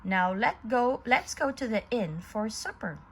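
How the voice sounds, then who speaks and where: A sneaky animal trickster from a storybook, played by a woman reader. The coaxing delivery is slow and swoops around in pitch. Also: big pitch swings, a bit bright, breathy edge, heavily acted. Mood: pleased. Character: sneaky, coaxing con-artist cat and fox.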